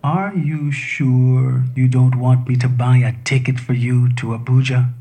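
A man voicing a calm male home assistant AI that speaks evenly and literally. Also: mid-range voice, husky. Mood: offering. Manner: even, literal, unhurried.

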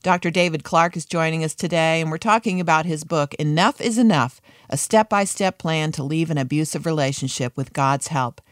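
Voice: medium pitch, brisk, hardly any pauses, no echo, studio quality. An announcer reading a scripted introduction for a radio program.

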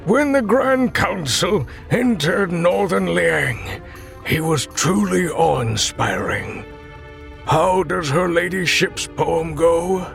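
A man voicing a blind, frail old man who tells stories in a slow, weary, complaining drawl. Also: high-pitched, animated, gravelly, a bit bright, faint music behind, voice pushed up, heavily acted. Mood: wistful.

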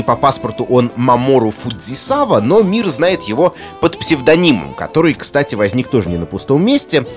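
A man presenting a scripted explainer in an erudite but conversational way, addressing the listener directly with rhetorical asides. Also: mid-range voice. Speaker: host and narrator of a music explainer.

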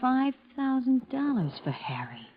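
An actress playing a shrewd, calculating young wife in a 1950s radio drama, cool and deliberate as she bargains. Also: sits high, very animated. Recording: muffled old radio recording.

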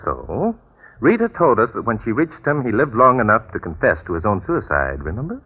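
An unflappable gentleman detective in an old radio mystery, in a low voice with lively swings of pitch. He is wry and elaborately polite, explaining with patient assurance, on a muffled vintage recording. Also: a little fast, slightly rough, heavily acted. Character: unflappable, wry amateur sleuth and keen fisherman. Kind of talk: 1940s radio drama acting.

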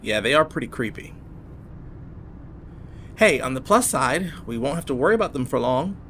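An easygoing small-town dad in an audio drama. His pitch is average and his manner is paternal, fond and lightly teasing, with a soft rumble in the background. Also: very animated, normal speed. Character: easygoing, affectionate, protective small-town father figure.

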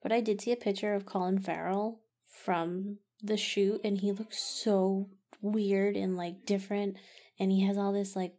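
A woman's voice: mid-range voice, little pitch movement, measured pace, dark tone. A woman co-hosting a casual movie podcast, chatting in a dry, offhand way. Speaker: podcast co-host.

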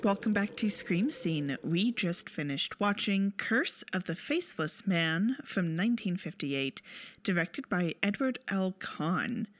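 A woman's voice: lowish voice, animated, unhurried. A woman co-hosting a film podcast in a casual, conversational style, recorded close and dry. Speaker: podcast co-host.

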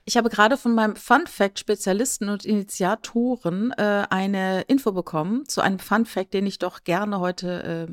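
A woman's voice: mid-range voice, moderate pace, few pauses, no room sound, clean studio sound. A woman co-hosting a podcast, talking in a casual, conversational style. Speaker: podcast co-host.

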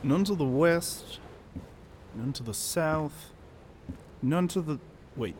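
A man playing a formal, watchful elf in an audio drama, speaking in a dry, measured, terse way. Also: fairly high pitch, lively intonation, slight background noise. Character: formal, watchful elf lookout, calm under threat.

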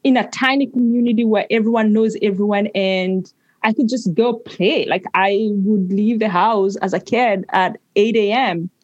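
A woman tells stories as a podcast guest in a mid-range voice, recorded dry and close. She sounds warm and reflective, pausing to hunt for the right words. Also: medium pitch, fairly even intonation, no room sound, muffled recording. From Kenya. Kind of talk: conversational storytelling.

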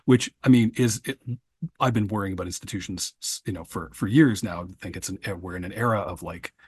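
A man co-hosting a podcast, his voice slightly husky and recorded close and dry. He talks in a halting, casual way, circling back and restarting his sentences. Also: sits low, darkish tone, no room sound. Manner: halting, many restarts and fillers. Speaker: podcast co-host and producer.

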